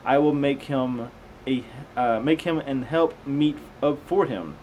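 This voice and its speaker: A man's voice: mid-range voice, unhurried, darkish tone, slight background noise, poor audio. A man reading scripture aloud, stumbling over unfamiliar words and dropping in casual, conversational comments.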